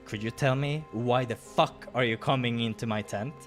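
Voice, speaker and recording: A man's voice: medium pitch, normal speed, close to an everyday voice. Man putting on a throaty, growling wolf brute. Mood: angry. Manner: blunt, profane, taunting. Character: huge intimidating wolf adventurer, gruff, hot-tempered, menacingly teasing. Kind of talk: visual novel character voice. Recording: music behind the voice.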